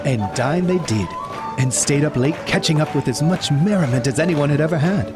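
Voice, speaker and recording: A man's voice: a little high, animated, fairly quick, over loud music. A man narrating a scripted audio drama as a storyteller.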